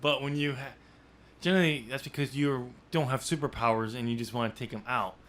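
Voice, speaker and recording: A man with an ordinary mid-pitched voice chats casually as a podcast host. He rambles and thinks aloud, stopping and starting as he works through ideas in an unscripted discussion. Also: fairly quick, a bit bright. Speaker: podcast host and amateur novelist.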